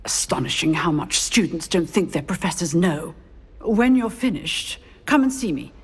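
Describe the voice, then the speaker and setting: A stern, knowing witch professor from a fantasy game. Her voice is husky and her pitch moves freely within formal, measured sentences. It rings with long hall reverb. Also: expressive. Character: stern, knowing female magic school professor. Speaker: professor of magic. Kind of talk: scripted video game acting.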